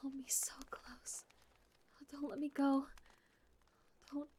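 Very high, slow, breathy whispering woman. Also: little pitch movement. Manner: soft, pleading, affectionate murmuring with sighs. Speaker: audio roleplay performer. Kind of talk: intimate whispered audio roleplay.

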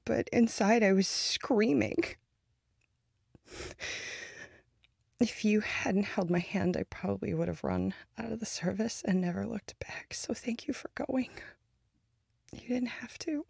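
A woman voicing a grieving young girlfriend who moves between bitter anger and soft whispers, speaking close to the microphone. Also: mid-range voice, normal speed, creaky, few pauses, slightly rough, no echo. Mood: tender. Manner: shifts between angry outbursts and soft whispers. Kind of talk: audio roleplay to a listener.

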